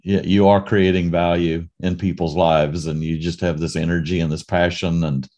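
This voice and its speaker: A man's voice: low-pitched, flat delivery, normal speed, darkish tone. A man hosting an online leadership session, friendly and upbeat as he thanks the guest. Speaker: host. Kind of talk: hosting a video call.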